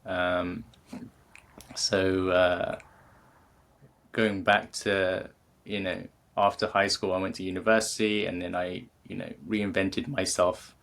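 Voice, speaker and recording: A man's voice: sits low, flat delivery, slow pace, gravelly, a bit bright. A man in his thirties with a British accent, a guest in a casual interview who talks with many fillers and restarts. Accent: British English. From England. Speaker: guest, creativity coach.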